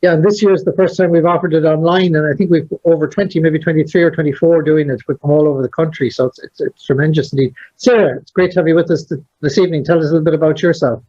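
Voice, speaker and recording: Measured man hosting, lo-fi. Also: on the high side, steady tone, mellow. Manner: measured, hesitant with fillers. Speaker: host. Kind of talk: hosted conversation. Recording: dull lo-fi sound.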